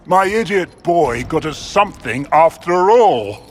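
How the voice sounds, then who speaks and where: A man's voice: fairly high pitch, slight background noise, full character voice. A man voicing a brash older barbarian clan chief in a video game, blunt, mocking and unrepentant. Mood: gleeful. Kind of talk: video game cutscene acting.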